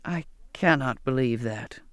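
Man voicing a slow, kindly sailor. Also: on the high side, expressive, darkish tone, dry recording, studio quality. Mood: surprised, puzzled. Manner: measured, rhetorical questioning. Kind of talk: audiobook character dialogue.

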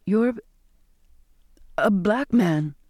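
Man voicing a slow-spoken, troubled young girl. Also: high-pitched, expressive, a little mellow, no room sound, clean studio sound, pitched above the performer's own voice, exaggerated voice. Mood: hesitant, embarrassed. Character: Charlotte, troubled, earnest, well-bred young girl. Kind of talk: audiobook character dialogue.

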